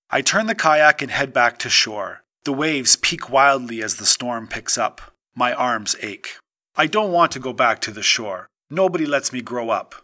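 Bright-voiced man reading a story. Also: medium pitch. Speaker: audiobook narrator. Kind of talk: scripted narration, short story read aloud.

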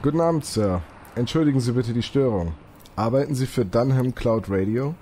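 An actor playing a polite, inquisitive policeman in a German audio drama, matter-of-fact and calmly suspicious. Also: mid-range voice, brisk, close to an everyday voice.

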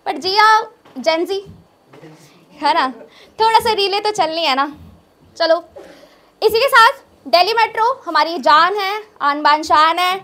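A woman's voice: very high-pitched, lively intonation, unhurried, pauses often, sharp tone. A young woman doing conversational observational stand-up, repeating phrases for emphasis into a handheld mic. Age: young adult. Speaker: stand-up comedian. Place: small indoor open-mic stage. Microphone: handheld microphone.

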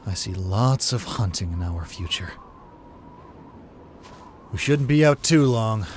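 A man's voice: fairly low pitch, lively intonation, slow, a little hiss or hum. A man voicing a tense, decisive survivor leader and protective father in a comic dub. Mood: steady, concerned. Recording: loud music bed under the voice.

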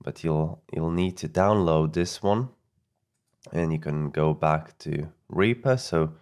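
A man narrating a software tutorial off the cuff, halting and often repeating words. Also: very deep voice. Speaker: audio editing tutorial presenter.

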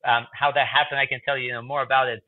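A man's voice: medium pitch, little pitch movement, rapid-fire, no echo. A man in his thirties with a Croatian accent, a guest researcher answering interview questions in a chatty way with many fillers and repeats, over a video call from a room with a whiteboard. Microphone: video call earbuds. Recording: tinny phone-like call audio, dry.

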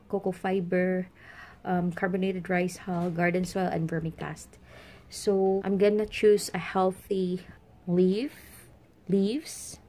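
A woman's voice: mid-range voice, dark tone. A woman with a Filipino Visayan accent, chatting casually as she demonstrates plant care at a table, mixing English with Tagalog and Bisaya. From Philippines. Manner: chatty, mixes English with Tagalog and Bisaya, talks while working with her hands. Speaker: plant vlogger. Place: indoors at a table with potted plants.

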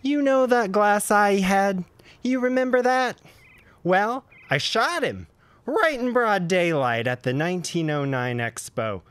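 A man playing a droll, gossipy gentleman neighbor with a theatrical manner in a period audio drama. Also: high voice, animated, a little slow, clean tone, dry recording. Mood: confiding.

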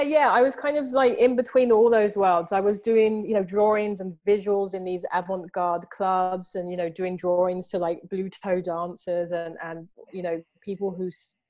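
A woman, talking animatedly as an artist guest over a video call from home, with plenty of "you know"s. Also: fairly low pitch. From Southeast London, England. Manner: animated, gestures a lot, many fillers like "you know". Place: home room with books and artwork behind. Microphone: video call, earphones.

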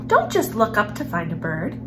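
A young woman hosting a children's story time, reading a picture book aloud in an expressive storyteller's manner. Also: medium pitch, moderate pace. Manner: expressive, animated storyteller, with hushed passages. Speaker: host of a children's read-aloud series. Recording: music behind the voice. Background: background music.

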